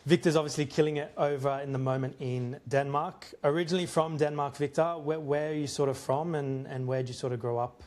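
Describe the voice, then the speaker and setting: A man's voice: on the high side, steady tone, fairly quick, hardly any pauses, a little mellow. A young man hosting a podcast, interviewing in an informal, chummy way with affirming interjections. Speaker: podcast host.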